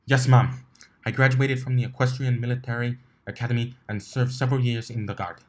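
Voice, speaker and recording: A man's voice: medium pitch, flat delivery, fairly quick, lightly acted. A man voicing a stern, stiff female soldier pony with a clipped, emotionless, formal delivery.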